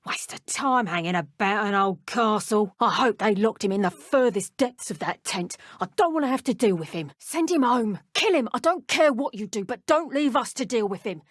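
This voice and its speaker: An actress playing an aggressive, taunting female dark wizard guard in a video game. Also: mid-range voice, lively intonation, normal speed, few pauses, no room sound, studio quality, close to an everyday voice. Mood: grumbling. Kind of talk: video game combat barks.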